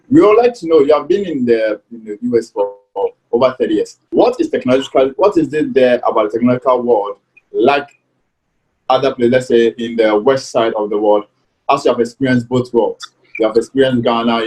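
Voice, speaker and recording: A man hosting an interview show, speaking in a formal, deliberate announcing manner. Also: mid-range voice, darkish tone, poor audio. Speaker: show host.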